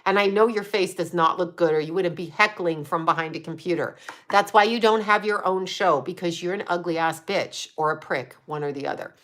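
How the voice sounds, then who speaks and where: Bright-voiced blunt woman in her fifties. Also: on the low side, fairly quick. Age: 50s. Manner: blunt and profane, trash-talking trolls between chatty tips. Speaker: livestream host. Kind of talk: casual livestream chat answering comments.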